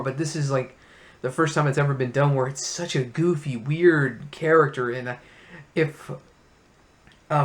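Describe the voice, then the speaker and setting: A man hosting a casual movie review, talking as an enthusiastic fan in a room full of memorabilia. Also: on the high side.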